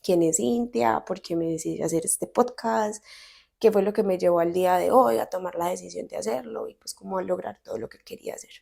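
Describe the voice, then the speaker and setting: Chatty Colombian woman in her thirties, gravelly. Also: medium pitch, fairly quick, darkish tone. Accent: Medellín Colombian Spanish. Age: 30s. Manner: chatty, candid, uses slang freely. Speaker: podcast host. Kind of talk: casual solo podcast monologue.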